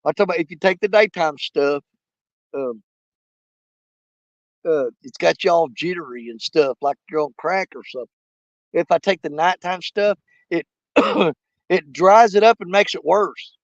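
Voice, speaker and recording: A man hosting a fishing live stream outdoors at a small lake, hoarse and losing his voice, rambling in a folksy way straight to his viewers. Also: fairly high pitch, normal speed, pauses often, dry recording, lo-fi sound. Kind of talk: casual live-stream chat.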